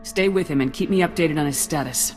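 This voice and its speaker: An actor playing a confident special forces captain in a video game, giving firm, clipped commands. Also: male voice, a little high, a little fast, soft background music, lightly acted. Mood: commanding. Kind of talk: video game cutscene acting.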